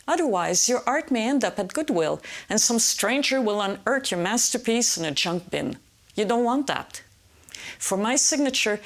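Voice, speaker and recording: Brief: middle-aged woman from French Canada, art teacher, steady encouraging tutorial read, mid-pitched voice. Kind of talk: scripted tutorial narration.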